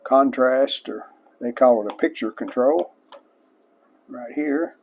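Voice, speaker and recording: A man hosts a hobby restoration vlog in a voice with plenty of gravelly fry. His pitch sits in the middle of the male range. He talks through the hands-on work casually and plainly, showing each piece as he goes. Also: slow pace, darkish tone. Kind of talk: casual hands-on workshop narration.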